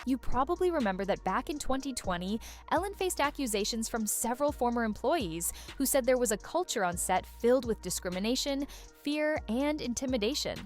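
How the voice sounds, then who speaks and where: A woman's voice: a little high, lively intonation, fairly quick, soft background music. A woman reading scripted gossip-video narration in a dramatic, suspense-building way.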